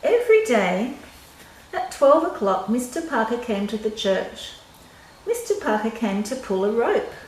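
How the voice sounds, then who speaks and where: A woman's voice: on the high side, very animated, a little slow, darkish tone. An older woman reading a picture book aloud to children, expressively, with simple asides for young listeners. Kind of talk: children's story read-aloud. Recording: roomy echo with clear background noise.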